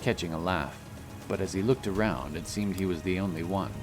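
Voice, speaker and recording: A man's voice: deep voice, a little fast. A man narrating a scripted fantasy story as its storyteller. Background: music.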